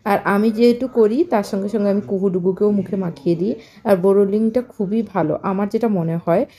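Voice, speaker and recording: A woman's voice: medium pitch, fairly even intonation, brisk, warm tone. A woman with a Bengali accent talking casually to her viewers in a chatty, explanatory vlog style, mixing in English words. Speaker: vlogger.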